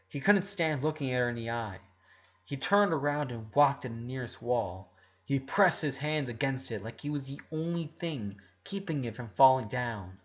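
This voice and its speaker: Story narrator on a dull recording. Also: mid-range voice. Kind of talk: dramatic fiction reading.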